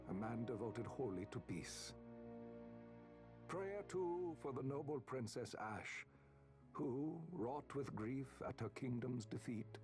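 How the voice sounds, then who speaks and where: An actor playing a solemn older nobleman in a video game, giving a formal, grave, measured oration about his kingdom's surrender. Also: medium pitch. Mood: solemn, mournful.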